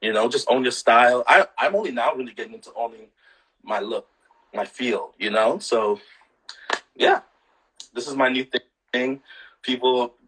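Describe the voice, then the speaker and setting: A man from Canada sits for a casual live-stream interview. His husky, breathy voice meanders through stories, with a loose filler-heavy rhythm and easy laughter. The pitch sits in a middle range for a man. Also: moderate pace, long pauses, low-quality recording. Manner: relaxed, laughing often, many fillers. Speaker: guest singer.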